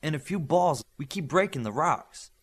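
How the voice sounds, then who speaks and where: A man's voice: a little high, lively intonation, moderate pace, husky, pitched above the performer's own voice. Man voicing a brash, athletic boy hero. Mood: brisk, upbeat.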